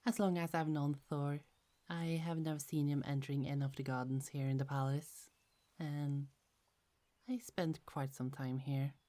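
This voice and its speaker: A woman voicing a gentle, chatty young goddess in an ASMR roleplay, speaking softly with frequent little laughs. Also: sits low, steady tone, normal speed, natural voice. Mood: friendly. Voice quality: soft, half-whispered, giggly.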